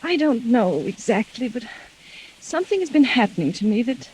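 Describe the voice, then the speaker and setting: A woman playing a frightened, suspicious wife in a 1940s radio drama, with an anxious, halting, insistent delivery. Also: on the high side, very animated, a little mellow, a little hiss or hum, poor audio. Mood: anxious confiding. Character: frightened, suspicious wife haunted by fears of murder. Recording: vintage 1940s radio recording.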